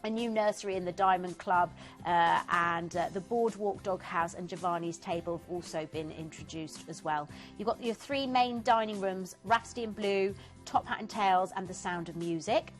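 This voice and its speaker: A woman's voice: fairly low pitch, moderate pace, faint music behind. A woman presenting cruise deals on a TV shopping channel, chatty and enthusiastic, talking through destinations with fillers. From England. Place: TV studio.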